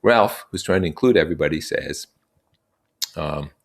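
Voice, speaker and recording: A man reading a story aloud and explaining it with casual, expressive comments. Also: very deep voice, moderate pace. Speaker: reader and teacher explaining a novel.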